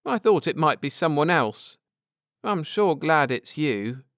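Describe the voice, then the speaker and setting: A man's voice: a little high, big pitch swings, unhurried, a bit bright, no echo, studio quality, voice pushed up, full character voice. A man voicing a small, friendly, apologetic boy in an audiobook story, eager and earnest. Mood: apologetic, glad.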